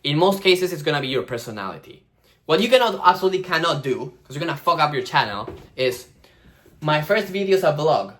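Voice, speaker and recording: A young man vlogs straight to camera in a slightly high voice that leaps around with animated energy. He swears freely and breaks into silly put-on voices while giving casual advice. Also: fairly quick. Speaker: vlogger.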